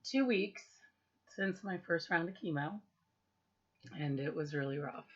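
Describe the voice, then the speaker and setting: A woman with a hoarse, husky voice after losing it, giving a candid, rambling personal update at home and laughing at herself now and then. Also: low voice, a little slow. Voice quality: husky, strained. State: hoarse, recovering from lost voice. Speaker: vlogger giving a health update. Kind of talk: casual personal update to camera. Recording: dull lo-fi home recording.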